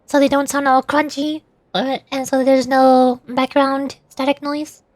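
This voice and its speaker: A woman's voice: high-pitched, little pitch movement, a little slow, darkish tone, dry recording. A giggly woman streamer chatting casually to her viewers on a livestream.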